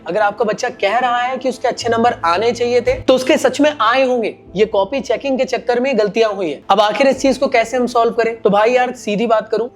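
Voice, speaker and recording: A young man speaking directly to students in an earnest, appealing commentary, often posing rhetorical questions. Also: very high voice. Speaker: education content creator addressing students. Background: background music.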